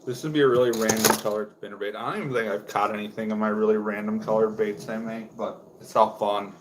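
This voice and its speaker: A young man from Wisconsin with a low voice, chatting casually on a home livestream while making fishing lures, rambling with lots of fillers. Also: steady tone, fast talker, noisy background. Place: indoors at home.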